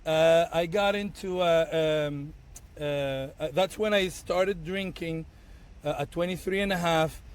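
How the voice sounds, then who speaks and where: A man in his thirties, Arabic his first language, talks in a lively, storytelling way, acting out his own past lines with many restarts. His voice sits a little higher than most men's. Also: measured pace, smooth. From Tunisia. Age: 30s. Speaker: bartender guest. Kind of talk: casual podcast conversation.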